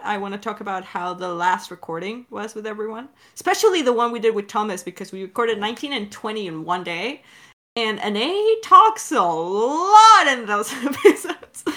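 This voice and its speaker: Woman, high bright voice, animated and rambling, informal podcast host chat. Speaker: podcast co-host and audio drama director. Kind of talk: casual Q&A chat.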